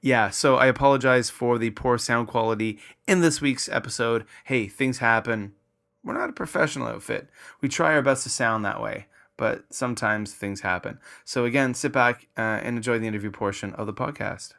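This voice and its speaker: Bright, chatty podcast host man. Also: mid-range voice, moderate pace, gravelly. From Nova Scotia, Canada. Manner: upbeat, chatty with many fillers. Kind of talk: casual podcast hosting. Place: small home studio room with a floor fan.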